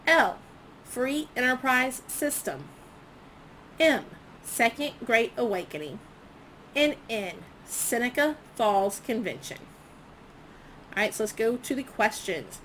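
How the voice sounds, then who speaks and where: A woman's voice: fairly high pitch, sing-song, slow, pauses often, fairly bright tone, slight background noise, low-quality recording. A woman history teacher reading quiz questions aloud to students, enunciating each prompt item by item in an instructional way.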